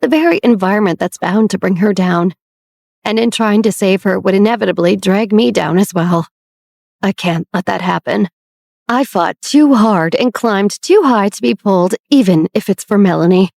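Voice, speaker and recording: A woman's voice: medium pitch, normal speed, vocal fry, darkish tone, no echo, clean studio sound, lightly acted. A woman voicing a guarded older sister who speaks in a firm, clipped, resolute way. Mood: cold, resolute.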